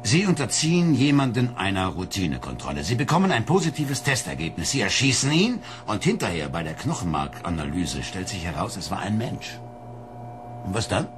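A man playing a gruff, world-weary police inspector in a radio drama, blunt and sardonic. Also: lowish voice, very animated, fairly quick, noisy background. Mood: matter-of-fact, weary.

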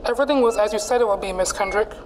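A woman's voice: medium pitch, racing, noisy background, lightly acted. A woman playing a cool, hard-boiled character in an old-time radio mystery melodrama. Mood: cool, measured.

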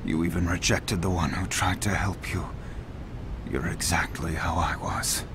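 A man playing a solemn, earnest young ninja leader in an anime dub, speaking gravely and with measured deliberation. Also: low voice, fairly even intonation, background noise. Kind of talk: dramatic anime dub acting. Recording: loud music behind the voice.

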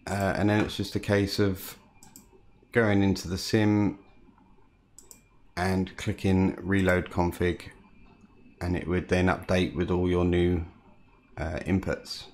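A man from the United Kingdom, a home cockpit builder, calmly walking through his hobby build with frequent "uh" fillers. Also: low voice. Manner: calm, unhurried, frequent "uh" fillers. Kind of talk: casual hobby walkthrough.

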